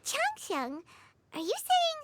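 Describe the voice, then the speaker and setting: Squeaky, excitable little fairy sidekick. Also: female voice, slow pace, slight background noise, full character voice. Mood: curious. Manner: refers to self in third person, exclamatory. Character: small excitable childlike fairy companion, female, blunt. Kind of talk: video game character acting.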